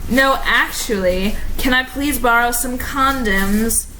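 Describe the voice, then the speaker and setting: A woman's voice: on the high side, slow pace, rough recording. A woman voicing flustered, angry, swearing men from a wizard-school story with exaggerated delivery. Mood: sheepish. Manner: exaggerated shouting and grunting. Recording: amateur recording with background noise.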